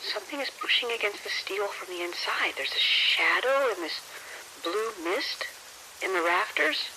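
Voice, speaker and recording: An actor playing a frightened, jittery male security officer in a horror audio drama, halting and stammering. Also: sits high, animated, sharp tone, heavily acted, low-quality recording. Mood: terrified. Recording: radio ambience with rain-like noise.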